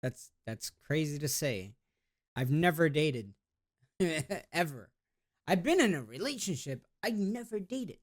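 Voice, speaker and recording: A man's voice: on the high side, sing-song, unhurried. A man in a casual vlog, reading test questions aloud and answering them in a rambling, hesitant way, with laid-back slangy talk. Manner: rambling and hesitant, with many restarts and trailing off. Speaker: YouTuber.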